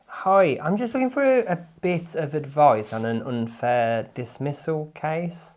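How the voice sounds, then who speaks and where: Deadpan, rambling male caller. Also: a little high, a little slow. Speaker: prank caller. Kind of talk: mock-earnest phone conversation.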